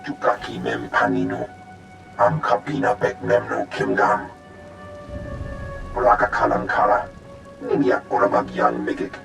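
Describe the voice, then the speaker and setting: An extremely creaky, guttural alien analyst from a sci-fi game, rattles out words in an invented tongue. The voice echoes in a roomy space under loud music. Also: male voice, lowish voice, sing-song, a little slow, pauses often, heavily acted. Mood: matter-of-fact. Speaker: intelligence analyst. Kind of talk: video game character acting.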